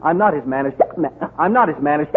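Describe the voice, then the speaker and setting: A man's voice: a little high, lively intonation, rapid-fire, natural voice. Man playing a flustered, stumbling giggler. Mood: flustered, giggly. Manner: stumbles on long words, restarts, giggles nervously. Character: flustered, giggly groaning instructor for wrestlers. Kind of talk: 1930s radio comedy acting. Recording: muffled noisy 1930s radio broadcast.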